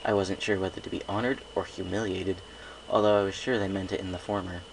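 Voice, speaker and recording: A man's voice: deep voice, level tone, quick pace, gravelly, rough recording. A man reading a first-person story aloud as an amateur narrator, with faint noise behind him.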